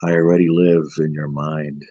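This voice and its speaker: A man's voice: little pitch movement, moderate pace, slightly rough, no room sound, highs cut off. A man putting on a menacing male horror priest who whispers that he lives in your mind. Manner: low, hushed, creeping threats.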